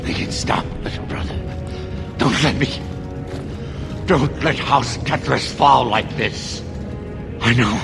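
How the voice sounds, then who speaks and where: A creaky, broken, pleading dwarf. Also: male voice, mid-range voice. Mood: desperate, pleading. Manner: halting, desperate pleading. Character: broken, pleading older dwarf brother gone mad. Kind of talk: video game voice acting. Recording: game music behind the voice.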